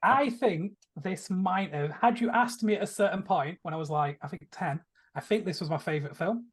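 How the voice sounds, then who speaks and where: A man's voice: high voice, moderate pace, slightly rough. A man giving his opinions in a casual film and TV podcast chat. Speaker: podcast panelist.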